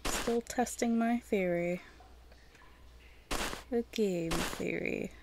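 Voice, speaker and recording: Gravelly-voiced woman chatting over a game. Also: medium pitch, drawn-out words, noisy background. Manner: chatty, rambling, self-deprecating, talking to game characters. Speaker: gaming video host. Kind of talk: casual gameplay commentary.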